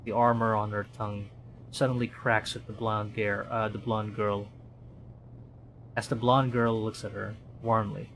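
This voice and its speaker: A man's voice: lowish voice, little pitch movement, unhurried, fairly bright tone, slight background noise, rough recording. A man from the Philippines, a streamer reading a horror visual novel aloud in a dramatic storytelling manner, with commentary.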